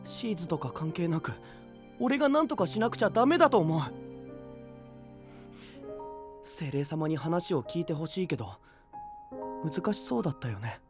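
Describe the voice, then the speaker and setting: 抑揚豊かで柔らかな声の、責任感の強い若い王子。アニメのボイスドラマらしく、静かに考え込むような真摯な口調で話し、背景には小さく音楽が流れる。. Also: male voice, fairly high pitch, lively intonation, fairly quick, over music. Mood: resolute. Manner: soft, introspective, earnest. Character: gentle young male prince of a sea kingdom. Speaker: voice actor. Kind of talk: anime voice drama.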